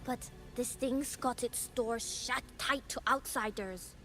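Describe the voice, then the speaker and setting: A woman's voice: on the high side, slow pace, pushed and bright, soft background music. A woman voicing a perky, casually teasing companion character in an anime game.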